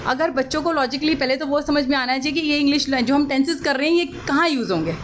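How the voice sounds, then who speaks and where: A woman's voice: high voice, fast, a little hiss or hum. A young woman, an English teacher, patiently explaining her teaching method in an interview and mixing English words into her speech. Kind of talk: interview answers.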